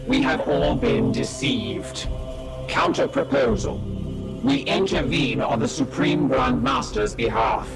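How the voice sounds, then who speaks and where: Cyborg priest: swooping, gravelly, clinical, deliberate, over loud game score. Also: male voice, very high-pitched, huge pitch swings, heavily acted. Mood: clinical argument. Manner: clinical, emphatic, halting one-word announcements. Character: logical, clipped male machine-priest of a sci-fi order. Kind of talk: video game cinematic acting. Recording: loud music behind the voice.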